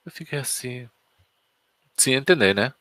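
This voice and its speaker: A man's voice: mid-range voice, animated, a little slow, pushed and bright, no room sound. A man with a Spanish accent, a native Spanish speaker, teaching Spanish in a chatty, anecdotal style and putting questions to his viewers. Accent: Spanish from Spain. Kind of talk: casual storytelling lesson on a live stream.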